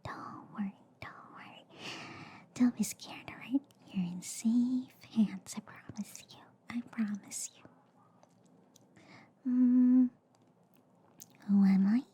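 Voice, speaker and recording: A woman voicing a quirky, reassuring alien girl doctor in a soft ASMR roleplay, mostly in a hushed, breathy whisper with a soothing, chatty manner. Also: on the high side, fairly even intonation, heavily acted. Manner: slow, chatty, soothing, repeating filler words. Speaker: ASMR performer.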